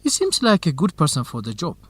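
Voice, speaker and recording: An actor playing an earnest, cautious, lovestruck young research assistant in an educational radio drama. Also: male voice, fairly high pitch, huge pitch swings, fast talker, slightly rough, full character voice. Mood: reasonable, questioning. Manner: earnest, anxious, principled.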